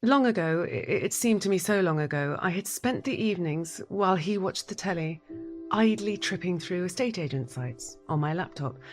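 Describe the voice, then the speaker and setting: An actress playing a troubled, withdrawn wife who has lost her hearing, narrating an audio drama in a breathy, deliberate, introspective way. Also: lowish voice, expressive, soft background music, natural voice. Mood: wistful, regretful. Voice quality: breathy, husky.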